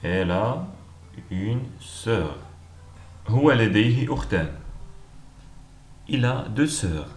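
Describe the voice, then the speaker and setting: A man teaching language, reading each short phrase with clear, deliberate pronunciation and repeating it several times. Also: lowish voice, expressive, a little mellow. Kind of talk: slow repeated phrase drills. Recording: echoey room with faint noise.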